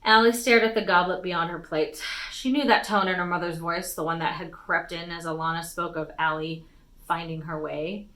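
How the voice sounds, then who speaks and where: Female storytime reader, slightly low voice with a bright, forceful edge, expressive fantasy narration. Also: moderate pace. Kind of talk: expressive read-aloud of a fantasy novel.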